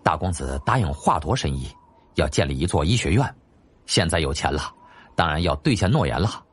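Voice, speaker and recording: A man's voice: extremely low pitch, lively intonation, fairly quick, slightly rough, voice pushed down, heavily acted. A man voicing an obsequious household steward in an audiobook, respectful and eager to explain. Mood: deferential. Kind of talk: audiobook character acting.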